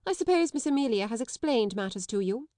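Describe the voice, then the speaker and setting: A woman playing a sharp, domineering schoolmistress. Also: a little high, animated, a little fast, dry recording, studio quality, pitched above the performer's own voice, lightly acted. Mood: harsh. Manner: cold, scolding, sharp. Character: stern domineering middle-aged woman schoolmistress. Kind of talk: audiobook character voice.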